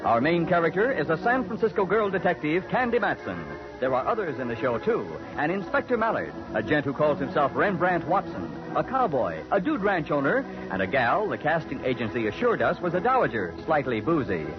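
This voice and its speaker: An American man announcing a scripted 1940s radio show in a wry, measured manner. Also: a little high, big pitch swings. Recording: muffled vintage 1940s radio broadcast. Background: organ music.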